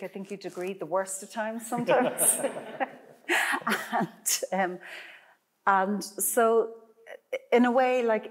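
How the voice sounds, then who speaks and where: A middle-aged woman, a painter, chatting in a gallery, rambling with many restarts and fillers. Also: medium pitch. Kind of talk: casual conversation.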